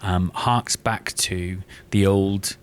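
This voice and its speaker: A man's voice: low-pitched, fairly even intonation, extremely slow. A British man, middle-aged, chatting enthusiastically as a podcast guest, often repeating words as he gathers his thoughts. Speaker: guest, audio drama writer. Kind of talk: casual podcast interview.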